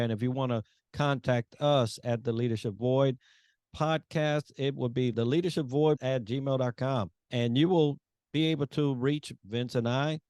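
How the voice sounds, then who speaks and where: A man hosting a podcast, asking his guest questions in a measured way with frequent fillers. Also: medium pitch, clean tone, dry recording. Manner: measured, hesitant with frequent fillers, warmly appreciative. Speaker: podcast host. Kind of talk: interviewing a guest.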